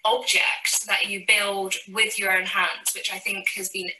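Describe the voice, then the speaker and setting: A woman's voice: mid-range voice, little pitch movement, measured pace, bright, forceful tone. Enthusiastic female student, distant and roomy. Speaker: architecture student. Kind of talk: testimonial for a promo film.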